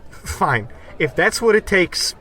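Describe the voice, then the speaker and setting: A man playing a scrappy, smooth-talking wiseguy pal in slangy, wisecracking 1940s radio comedy style. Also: sits high, sing-song, takes it slowly, fairly bright tone, heavily acted. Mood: indignant.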